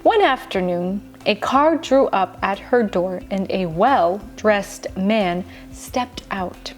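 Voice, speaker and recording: A woman reading a children's bedtime story in an expressive, dramatic way with deliberate, emphatic pauses. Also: mid-range voice. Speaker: storyteller, show host. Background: music.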